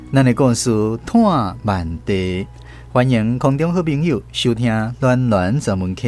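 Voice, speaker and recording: A man's voice: medium pitch, darkish tone. A man with a Taiwanese accent hosting and narrating a radio storytelling programme from a script. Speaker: radio host and drama narrator.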